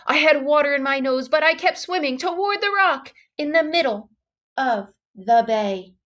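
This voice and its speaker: A woman reading a children's story aloud in an expressive, dramatic way, leaning on key words and exclamations. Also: sits high, moderate pace. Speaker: storybook reader.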